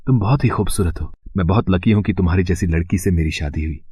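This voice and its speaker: A man playing an eager, easily flustered young husband with an expressive, exclaiming manner. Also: sits low, fast, breathy edge, no room sound, clean studio sound. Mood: tender.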